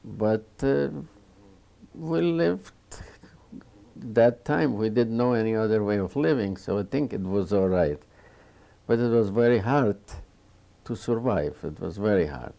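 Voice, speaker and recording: A man in his seventies from rural Romania, answering interview questions haltingly, with many restarts. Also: fairly low pitch, vocal fry, mellow. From Bukovina, Romania. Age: 70s. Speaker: Holocaust survivor interviewee. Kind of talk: oral history interview.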